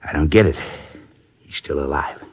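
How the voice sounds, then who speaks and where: A very deep-voiced brawler of a man, now worn out and fading. The voice is extremely husky and breathy, weary and fatalistic, on a dull old radio drama recording. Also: normal speed. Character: big, brawling, tough male crewman, worn down and dying.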